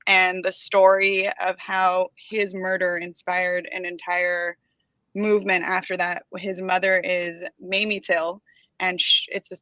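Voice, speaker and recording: A woman from Los Angeles with an American accent speaks as a podcast guest, gentle and a little hesitant, with frequent ums. Her tone is bright and clear, and the recording is dry and close but somewhat dull and lo-fi. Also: lowish voice, flat delivery, a little slow, dry recording. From Los Angeles, United States. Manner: soft-spoken, laughs easily, hesitant fillers. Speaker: guest entrepreneur. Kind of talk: casual interview chat.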